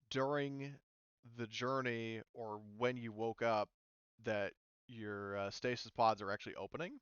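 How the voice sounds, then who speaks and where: Casting: man, casual tabletop game master, smooth middle-pitched voice, halting thinking-aloud delivery. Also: no room sound, muffled recording. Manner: halting, thinking aloud while checking rules.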